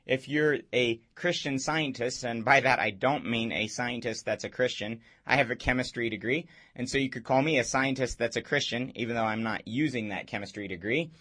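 Man, hoarse and raspy, husky tone, earnest radio host, explanatory talk. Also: mid-range voice, normal speed, hardly any pauses, bright, forceful tone. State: hoarse from a cold.

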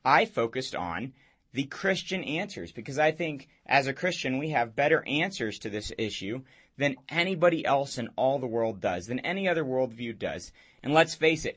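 Man, hoarse and raspy, husky tone, earnest radio host, explanatory talk. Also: medium pitch, a bit bright. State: hoarse from a cold.

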